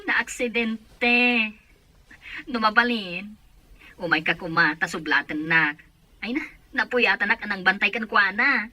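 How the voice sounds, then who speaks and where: A woman playing an anxious relative at a hospital in an audio drama, talking in a hurried, worried way. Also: medium pitch, pushed and bright, no echo. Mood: tired. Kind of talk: Ilocano audio drama acting.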